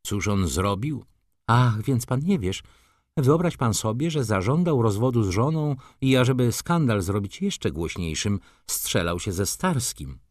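A man voicing an imperious, flirtatious society lady from a novel, commanding and theatrical, quick to scornful indignation. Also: medium pitch, big pitch swings, dry recording, clean studio sound, pitched above the performer's own voice. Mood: agitated.

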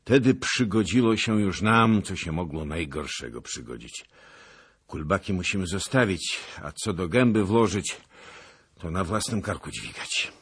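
A man doing a portly old nobleman, boastful and blustering, who laments and grumbles in grand, old-fashioned phrases. The voice is husky and pitched higher than the reader's own deep tone. It sounds like a theatrical figure in a storybook reading. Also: animated, unhurried, exaggerated voice. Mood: lamenting. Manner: bombastic, lamenting, archaic nobleman's speech.

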